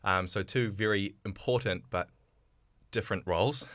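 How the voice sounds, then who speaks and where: A man's voice: lowish voice, normal speed, a bit bright, no echo. A man hosting a podcast and interviewing a guest in a friendly, chatty way, with frequent "um"s. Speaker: podcast host. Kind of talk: podcast interview, casual chat.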